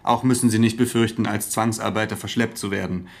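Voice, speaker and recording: An actor playing a businesslike French resistance leader in a radio drama, explaining things in a matter-of-fact way. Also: male voice, lowish voice, fairly even intonation, a little fast, lightly acted. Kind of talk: naturalistic German radio drama acting.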